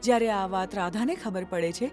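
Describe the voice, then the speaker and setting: A woman's voice: mid-range voice, a little fast. A woman narrating a scripted children's story as its storyteller. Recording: music bed behind narration.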